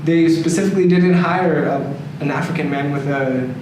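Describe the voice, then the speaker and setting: A Canadian man speaking in a measured, deliberate way as he shares his views in a group discussion. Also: on the high side. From Toronto, Canada. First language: English. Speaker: discussion participant. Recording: echoey noisy room, distant mic.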